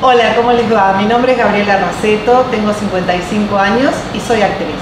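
A woman in her fifties, an actress, introducing herself at a casting with a bright, sociable greeting. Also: mid-range voice, fairly quick. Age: 50s. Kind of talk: self-introduction. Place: large bare photo studio. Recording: echoey studio, hum.

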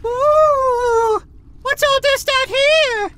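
A woman's voice: extremely high pitch, drawn-out words, full character voice. A woman voicing a naive, earnest young male fish prince who talks about himself in the third person. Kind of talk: fan-made animated short film.

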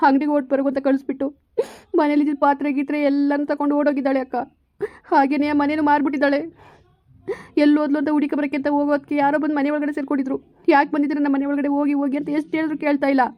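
A woman's voice: sits high, monotone, very fast, no echo, highs cut off, natural voice. A woman voicing a young man whose wife cheated him, crying and pleading with his elder sister. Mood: tearful pleading. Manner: pleading, whimpering. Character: young man, deceived husband, weeping and pleading. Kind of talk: village family drama skit.

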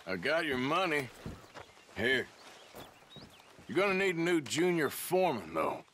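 An actor playing a gruff, laconic American outlaw gunslinger in a Western video game, with dry delivery. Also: male voice, on the high side, sing-song, measured pace, fairly bright tone, a little hiss or hum. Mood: dry, matter-of-fact. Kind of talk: game cutscene acting.

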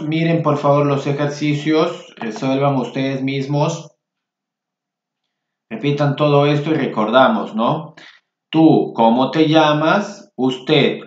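A man teaching Spanish, reading workbook exercises aloud and patiently repeating phrases for learners. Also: fairly high pitch. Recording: roomy, dull lo-fi sound.

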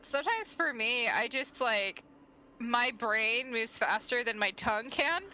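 A woman's voice: a little high, unhurried, poor audio. A woman with a slight lisp on her S sounds, chatting casually and reacting playfully while she plays a game. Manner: animated, playful, shouts at the game. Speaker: vtuber playing a game. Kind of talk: casual chat while gaming.

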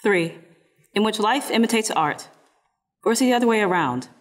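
A woman's voice: medium pitch, lively intonation, normal speed, soft background music, natural voice. A woman playing an arch narrator announcing scenes in scripted radio-play narration. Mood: wry.

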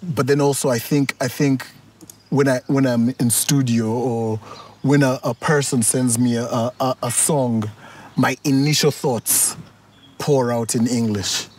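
A Ugandan man in his thirties, a musician sitting outdoors on a golf course and reflecting in a relaxed interview, with lots of restarts and chuckles. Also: medium pitch, measured pace, a little hiss or hum. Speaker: musician and guest of a music talk show.

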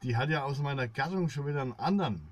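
A man's voice: medium pitch, lively intonation, fast, no echo. A middle-aged man rambling casually to his viewers in a colloquial, disjointed monologue. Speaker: vlogger presenting music. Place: small dim room, indoors, seated at a table.

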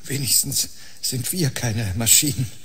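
A man's voice: mid-range voice, unhurried, bright, forceful tone, slightly rough, exaggerated voice. An actor playing a flirtatious, affable male research colleague in a science-fiction radio drama.

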